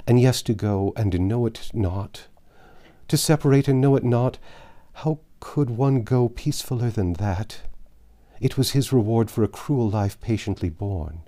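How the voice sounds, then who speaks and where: A man's voice: medium pitch, unhurried, mellow, lightly acted. Casting: male narrator as gaunt dying peasant wife, storybook tale, archaic and fervent, full of bitter grief. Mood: grieving, fervent. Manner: impassioned archaic speech.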